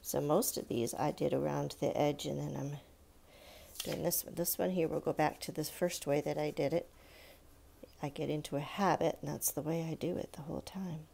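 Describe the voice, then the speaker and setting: Casual children's art teacher, female, gravelly edge, soft mellow tone, patient and encouraging. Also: low-pitched, steady tone, normal speed, a little hiss or hum. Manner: patient, encouraging, talking along while drawing. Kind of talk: casual step-by-step drawing tutorial for children. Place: indoors at a countertop.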